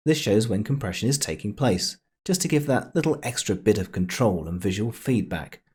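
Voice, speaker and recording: A man presenting a casual software tutorial in a playful, chatty way with jokey asides. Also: on the low side, expressive, normal speed, husky.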